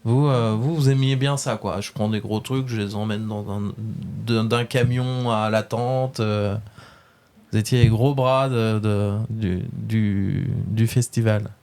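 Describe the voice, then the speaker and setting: A man's voice: on the low side, steady tone, unhurried, a little mellow, smooth. A man with a French accent hosting a casual webradio interview, hesitant and full of fillers but friendly.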